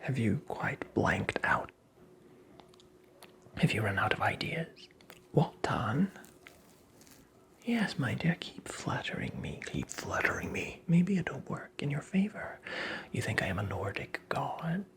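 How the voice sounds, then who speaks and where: A performer voicing a creepy, vain little male imp in a whispered ASMR fairy-tale roleplay, teasing and condescending with drawn-out phrasing. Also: medium pitch, big pitch swings, a bit bright, exaggerated voice. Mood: taunting, vain. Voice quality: breathy whisper.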